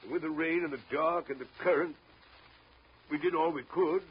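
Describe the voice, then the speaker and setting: A man's voice: fairly high pitch, normal speed, natural voice. A man as an old folksy riverboat pilot. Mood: subdued, reluctant. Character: old weathered riverboat pilot, plain-spoken and stubborn. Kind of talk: 1930s radio drama acting. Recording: dull, lightly noisy 1930s radio recording.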